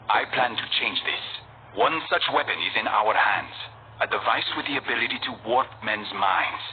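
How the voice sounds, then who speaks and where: A man playing a guarded, resolute assassin in a video game, speaking in a terse, measured, serious way. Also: fairly low pitch, natural voice. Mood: determined. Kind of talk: video game cutscene acting. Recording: tinny, phone-like game audio with hiss.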